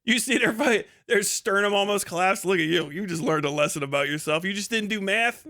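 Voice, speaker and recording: A man with a voice pitched a little higher than most men's talks off the cuff as a live streamer. His delivery is animated and enthusiastic. He rambles casually and swears freely as he goes. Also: a little fast. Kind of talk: casual, rambling livestream chat.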